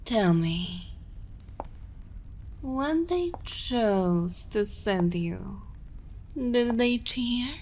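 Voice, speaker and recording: An evil queen acted by a woman speaks extremely slowly and with total command. She is seductive and menacing with a motherly edge, often sinking into intimate whispers in an ASMR roleplay style. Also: fairly high pitch, a little hiss or hum. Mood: cool, mocking. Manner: slow, deliberate, dominant, often dropping into whispers.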